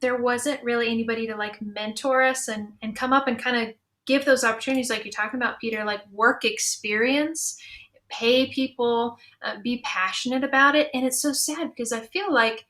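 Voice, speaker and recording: Woman, fairly high pitch, bright forceful tone, earnest, conversational panel talk, from New Mexico. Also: fairly even intonation, moderate pace. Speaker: panelist, audio drama producer.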